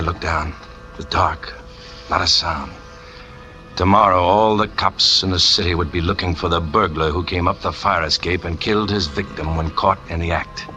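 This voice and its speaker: A man's voice: deep voice, pushed and bright. An actor playing a tormented, jealous man who narrates his crime with brooding intensity, in 1940s radio drama style. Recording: muffled old radio recording with music.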